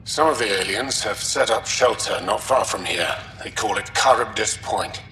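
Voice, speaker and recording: A man's voice: on the low side, normal speed, sharp tone. A man playing a wary, scornful alien settler recording an audio log in a video game. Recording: roomy, distant log playback, faint noise.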